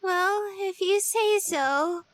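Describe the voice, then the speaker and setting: A man puts on an excitable young woman from a visual novel in an extremely high squeal. She is playful and carefree, stretching her words slowly, sounding a little distant over faint music. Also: heavy reverb, exaggerated voice.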